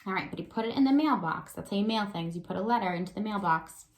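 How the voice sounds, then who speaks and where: A woman's voice: medium pitch, animated, fast, a little hiss or hum, poor audio. A woman teacher giving a read-aloud lesson to young children, explaining simply with encouraging questions and frequent check-ins. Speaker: elementary school teacher.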